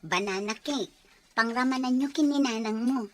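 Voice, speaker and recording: A woman's voice: on the high side, normal speed, slight background noise, close to an everyday voice. A woman playing a cheerful, generous married friend in an audio drama, chatty, with an Ilocano accent. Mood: friendly, generous. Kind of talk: acted family radio-style drama dialogue.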